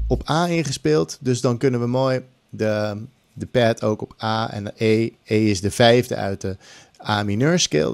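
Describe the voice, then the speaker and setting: Man's creaky, dry, close-miked tutorial voice. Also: mid-range voice, a little mellow, no echo. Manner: informal, mixes English phrases into speech. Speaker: music production tutor. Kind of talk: casual screen-recorded tutorial. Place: small room at home. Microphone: large condenser mic on a boom arm, close up. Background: music loops.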